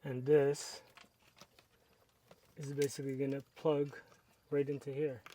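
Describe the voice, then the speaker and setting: A man's voice: medium pitch, measured pace, slight background noise. A man casually narrating a product review, explaining each step as he works with his hands. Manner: relaxed, step-by-step explaining while working with hands.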